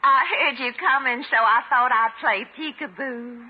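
An actress playing a coy, flirtatious, scheming widow with an American Southern accent and a cooing, drawn-out delivery in a 1950s radio comedy. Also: female voice, high-pitched, lightly acted. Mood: coy, gushing. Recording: muffled, noisy old radio recording.

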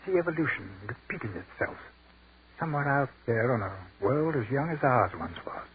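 Man doing a dreamy educated army captain, talks crisp and poetic, faint hiss, 1940s radio play sound. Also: medium pitch, animated, moderate pace, lightly acted. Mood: measured. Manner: crisp, articulate, lyrical speech. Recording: tinny, hissy 1940s radio broadcast.

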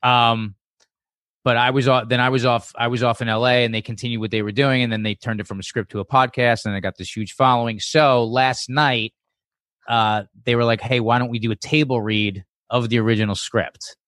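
A man's voice: mid-range voice, fairly even intonation, a little fast, a bit bright, dry recording, studio quality. A man, a comedian guesting on a podcast, telling stories in a rambling, informal way. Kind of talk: casual chat and storytelling.

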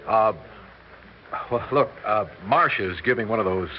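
An actor playing an affable, busy director who is hesitant, placating and evasive, in a 1960s radio drama. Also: male voice, fairly low pitch, a little slow, natural voice. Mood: awkward, evasive. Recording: muffled, hissy old radio broadcast.

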